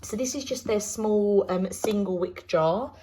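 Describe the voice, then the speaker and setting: A woman from the UK with a British accent, chatting casually through a product review, her voice slightly croaky after laryngitis. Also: medium pitch, a little slow, dark tone, a little hiss or hum, rough recording. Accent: British English. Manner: chatty, rambling with many ums. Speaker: candle reviewer.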